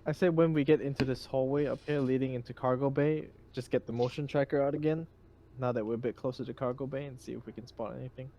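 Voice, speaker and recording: A man's voice: medium pitch, fairly even intonation, quick pace, mellow, slight background noise. A man playing a tabletop game, giving casual but decisive tactical directions.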